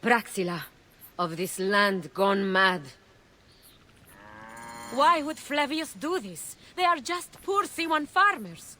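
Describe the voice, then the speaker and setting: An actress playing a compassionate healer in a video game, speaking gravely and earnestly. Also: female voice, a little high, very animated, slow, long pauses, pushed and bright, a little hiss or hum, full character voice. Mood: dismayed. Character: compassionate, earnest woman healer, troubled by war. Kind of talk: dramatic video game acting.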